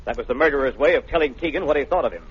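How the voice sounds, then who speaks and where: An actor playing an impatient, brusque police commissioner in a 1930s radio drama, with an authoritative manner. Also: male voice, medium pitch, animated, rapid-fire, husky. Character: gruff, impatient male police commissioner bossing his detectives. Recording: dull old radio drama recording.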